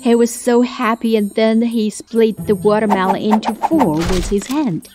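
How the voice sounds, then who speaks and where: A woman's voice: a little high, moderate pace. A woman narrating a scripted children's story as a storyteller, with music playing behind her.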